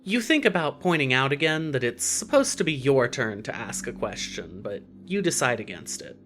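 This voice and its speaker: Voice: mid-range voice, very animated, moderate pace, fairly bright tone. A narrator reading scripted second-person fiction for an audio story.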